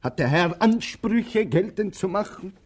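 A man acting a patient, stubborn tramp in a radio play, speaking in a measured, dry way to his companion. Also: sits high, expressive. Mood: puzzled, resigned. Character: patient, stubborn tramp, protective companion, slow-witted but hopeful. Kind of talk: theatrical radio play dialogue.

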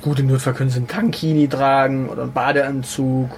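A man's voice: medium pitch, quick pace. Rambling, joking man with faint noise behind. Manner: rambling, deadpan jokes, many fillers and restarts. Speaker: podcast co-host. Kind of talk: casual comedic chat.

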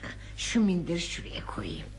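An actress playing a practical older wife in a radio play, brisk, nagging and fussing. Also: female voice, on the low side, big pitch swings, a little mellow, slight background noise. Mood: fussing, concerned. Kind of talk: radio theatre acting.

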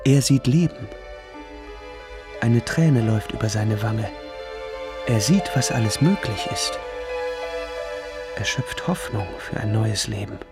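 An actor playing a brooding narrator in a radio drama, reciting terse screenplay directions in a deliberate manner. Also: male voice, fairly low pitch, expressive, gravelly, loud music behind the voice, heavily acted. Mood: somber.

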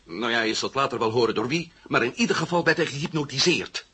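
A defiant man under arrest, argues in a forceful, very husky voice. He is cutting and urgent, with emphatic delivery in the manner of a 1970 radio drama. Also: mid-range voice, expressive, quick pace, full character voice. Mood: urgent, earnest. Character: defiant, hot-headed man held by police, urgent and sarcastic.

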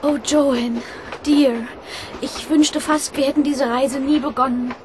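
An actress playing a refined, anxious young fiancée with a wondering, dramatic way of talking in a radio drama. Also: female voice, sits high, fairly even intonation, takes it slowly. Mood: uneasy. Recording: clear background noise.

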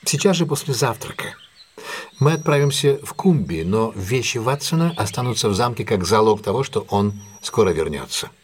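Casting: male actor as imperious, shrewd detective for audio drama; lowish husky voice, very animated, theatrical. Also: moderate pace, a little hiss or hum, natural voice. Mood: commanding. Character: commanding, shrewd, self-assured male detective plotting a trap.

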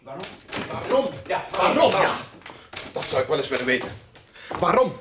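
A penniless, ill-tempered boss of a detective agency in a radio play. His voice is fairly high and swings widely as he blusters and sneers. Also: moderate pace, heavy background noise. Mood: indignant, scornful. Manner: excitable, blustering, sarcastic. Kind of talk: radio drama acting.

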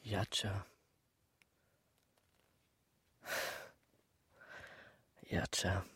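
An actor playing a blunt, earnest young man in a radio drama, with a low, husky voice. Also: level tone, takes it slowly, a bit bright, a little hiss or hum. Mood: regretful, intimate. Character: blunt, urgent young man pleading with a woman.

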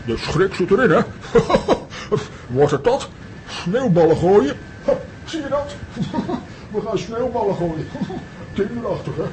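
A man's voice: high-pitched, sing-song, slow pace, noisy background, full character voice. A man playing a boastful, teasing comic bungler with a mocking manner in a children's audio drama. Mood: mocking glee. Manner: mocking, swooping, giggly.

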